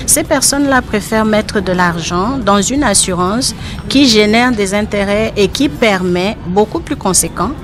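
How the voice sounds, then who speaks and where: A woman from Cameroon gives measured, explanatory interview answers. Her voice is mid-pitched, and music plays clearly behind her. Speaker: life insurance agent, interview guest.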